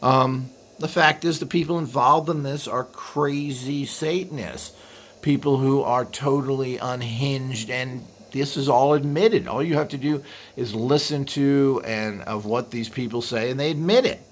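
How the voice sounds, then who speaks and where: A man with a mid-pitched voice talks off the cuff like a video host explaining his subject. He rambles and pauses, drifting into asides before picking the thread back up. There is audible noise behind him. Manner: rambling, halting with frequent trailing asides. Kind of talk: unscripted explanatory monologue. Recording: clearly audible background noise.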